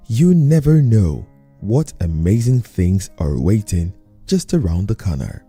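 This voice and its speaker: A man with a very low, dark, husky voice delivers a slow, solemn promo with lively swings in pitch. Soft music plays behind him. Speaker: promo voice-over. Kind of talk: inspirational voice-over.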